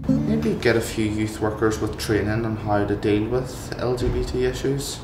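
A man's voice: lowish voice, lively intonation, normal speed. A young man of eighteen with a Belfast accent, answering casually in an interview. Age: young adult. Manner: casual, with fillers like "you know". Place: indoor youth centre room. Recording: echoey room with music underneath.

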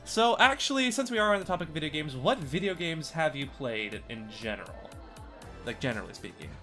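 A man's voice: fairly high pitch, big pitch swings, moderate pace. A young man co-hosting a podcast in casual, joking chat with a playful, teasing, self-mocking manner. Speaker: podcast co-host. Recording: music behind the voice. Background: background music.

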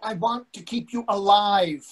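A man's voice: high-pitched, a little slow, a bit bright. A man in his sixties playing an anxious, devoted, fussy husband in a play reading over a video call, earnest and questioning. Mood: worried. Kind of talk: readers theatre play reading.

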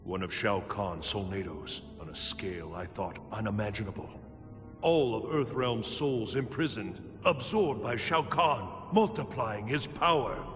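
An ancient, troubled protector god in a game. The voice is fairly low and solemn. It rings with long reverb, with music softly behind. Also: male voice, unhurried. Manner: grave, portentous. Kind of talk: video game cutscene acting.

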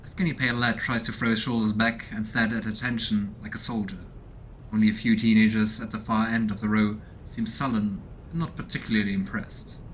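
A man's voice: on the low side, fairly even intonation, fairly quick, a bit bright, a little hiss or hum, low-quality recording. A man in his thirties doing casual let's play commentary and reading game text aloud as he goes. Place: small room indoors at home. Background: game music.

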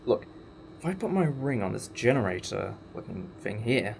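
A man's voice: on the low side, sing-song, normal speed, creaky, heavy background noise. A man playing a wry, inquisitive time-traveller in a sci-fi audio drama, with a sardonic, explanatory manner. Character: wry, inquisitive, defiant male time-travelling alien adventurer.

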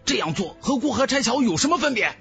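A man as an indignant, high-pitched young student. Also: big pitch swings, fast, vocal fry, fairly bright tone, faint music behind, exaggerated voice.

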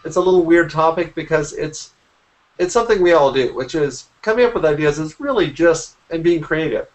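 A man's voice: a little high, lively intonation, brisk, a little mellow. A man in his forties speaking as an interview guest in a casual conversation on a video call, often rephrasing himself mid-sentence. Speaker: interview guest, artist and art teacher. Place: small indoor room at home. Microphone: computer mic on a video call.

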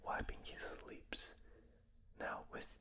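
A man with a non-native English accent whispering a book aloud for binaural ASMR, reading with small slips and restarts, recorded in a dark room at night. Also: takes it slowly. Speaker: reader. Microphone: binaural microphone.

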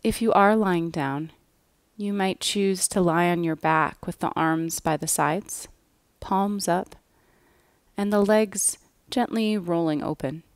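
A woman leads a guided relaxation at a very slow, unhurried pace. Her voice sits on the low side and her phrases end softly. The sound is close and dry, with very little room around it. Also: no echo. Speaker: yoga nidra guide. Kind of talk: guided relaxation meditation, scripted.

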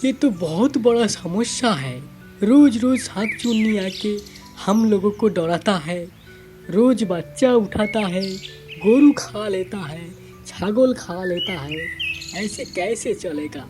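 A woman's voice: mid-range voice, animated, unhurried, music in the background, close to an everyday voice. A woman voicing a fed-up villager in a cartoon, indignant and complaining. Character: fed-up, grumbling villager demanding action. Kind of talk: cartoon dialogue.

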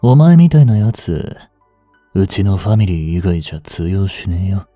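An actor playing a rough, cocky mafia boss with hidden tenderness in an intimate audio drama, gruff and teasing in a drawl. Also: male voice, low voice, sing-song, moderate pace, dark tone, no echo. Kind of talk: intimate Japanese-style dramatic voice acting aimed at women listeners. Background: music.

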